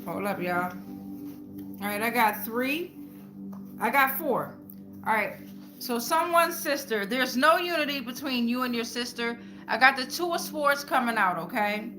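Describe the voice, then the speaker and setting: A woman's voice: on the high side, animated, unhurried, music in the background, low-quality recording. A woman hosting a live tarot reading, talking informally to viewers with repeated phrases and frequent check-ins. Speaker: tarot reader and live stream host.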